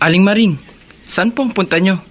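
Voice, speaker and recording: A man's voice: high voice, fairly quick, music in the background, close to an everyday voice. Subdued, troubled elder brother, played by a man. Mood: polite, defensive. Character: troubled, dutiful elder brother keeping a painful secret. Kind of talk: Filipino radio drama acting.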